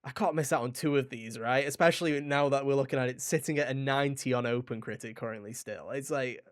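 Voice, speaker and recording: A man with a mid-pitched voice hosts a gaming podcast. He talks informally and wanders through his thoughts as he goes. He often speaks directly to the audience in a chatty way. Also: fast talker. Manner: rambling, informal, addresses listeners directly. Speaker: podcast host. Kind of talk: casual video game podcast chat.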